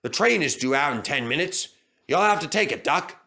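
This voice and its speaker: A man playing a brisk, authoritative railway controller in a children's story. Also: a little high, lively intonation, gravelly, sharp tone, exaggerated voice. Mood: cross.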